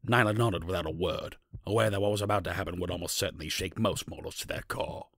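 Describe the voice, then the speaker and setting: A man with a husky, gravelly voice narrating a scripted fantasy story. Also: deep voice, quick pace, fairly bright tone, no echo, clean studio sound.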